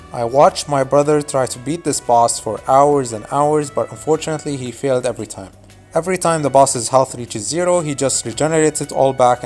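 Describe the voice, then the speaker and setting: A man's voice: medium pitch, darkish tone. A man in his twenties whose first language is Arabic, narrating a scripted video essay in a casual, wry, nostalgic way. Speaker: YouTube narrator.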